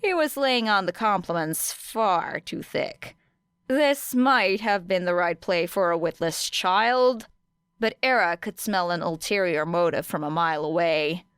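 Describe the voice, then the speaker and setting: A woman's voice: on the low side, unhurried, a bit bright, clean tone, no room sound. A woman reading a story aloud as a scripted third-person audiobook narration. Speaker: audiobook reader of fan fiction.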